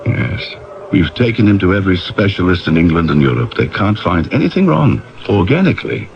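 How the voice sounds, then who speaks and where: Urbane, domineering husband, low creaky voice. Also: male voice, sing-song, a little fast, slight background noise, full character voice. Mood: earnest. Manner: urbane, wordy, theatrical. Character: jovial, domineering husband and father, a famous writer. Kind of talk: 1970s radio drama acting. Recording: dull 1970s radio broadcast recording.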